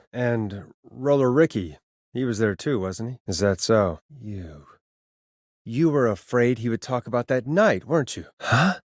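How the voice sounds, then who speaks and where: A rattled but earnest radio host in a video game, mid pitched, halting and stammery, really dry sound. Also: male voice, no echo. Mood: wary, questioning. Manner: halting, stammering, conversational delivery. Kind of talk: dramatic video game voice acting.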